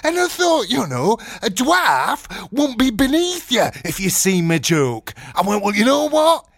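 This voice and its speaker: Man acting a cocky Yorkshire tough guy, swooping high pitch, gravelly, blunt and boastful comedy monologue. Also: huge pitch swings, measured pace, fairly bright tone, dry recording, clean studio sound, heavily acted. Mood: cocky, menacing. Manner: blunt, indignant storytelling with quoted back-and-forth. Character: cocky, hard-man Yorkshire film actor telling a boastful anecdote. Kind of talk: comic character monologue.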